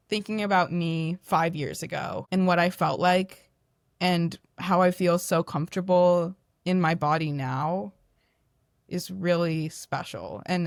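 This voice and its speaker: A young woman who is a podcast guest, talking in a loud, animated, self-deprecating way. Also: lowish voice, no room sound, studio quality. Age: young adult. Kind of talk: candid podcast conversation.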